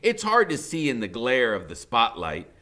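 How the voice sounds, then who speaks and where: Very animated, forceful male storyteller. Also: mid-range voice, moderate pace. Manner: animated, suspenseful, emphatic. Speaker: teacher reading a novel aloud. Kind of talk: dramatic first-person storybook narration.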